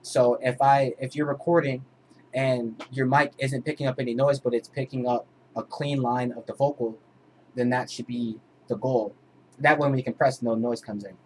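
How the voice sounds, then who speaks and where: A man's voice: mid-range voice, fairly even intonation, moderate pace. Man casually teaching, noisy background. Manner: informal, explains step by step. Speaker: music producer teaching vocal mixing. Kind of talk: casual tutorial explanation.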